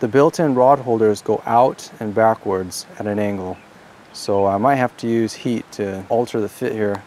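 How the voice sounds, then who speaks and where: A man narrates a hands-on DIY tutorial in a dark, relaxed voice. His delivery is plain-spoken and instructional, like a host guiding viewers through a build step by step. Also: lowish voice, moderate pace, a little hiss or hum. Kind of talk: scripted how-to narration.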